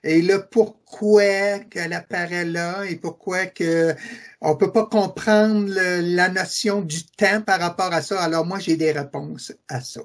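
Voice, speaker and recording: A middle-aged man from Quebec City with a Québécois French accent, earnestly giving testimony as an interview guest, repeating his affirmations and hesitating often. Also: high-pitched. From Quebec City, Canada.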